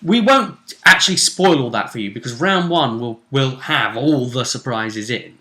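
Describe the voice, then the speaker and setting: A man with a bright, forceful voice talks as the host of a casual tabletop gaming recap. His speech is informal and conversational, recorded with noticeable room echo. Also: mid-range voice, lively intonation, moderate pace. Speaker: host and game master of a tabletop role-play recap. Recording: roomy, echoey sound.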